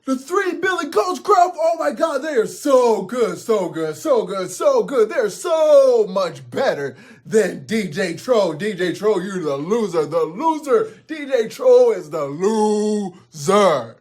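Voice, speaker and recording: A man voicing an excitable, gushing fan in a fairy-tale crowd, repeating phrases over and over. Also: high voice, slow, hardly any pauses, pitched above the performer's own voice, full character voice. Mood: gushing, jeering. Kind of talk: theatrical storytelling.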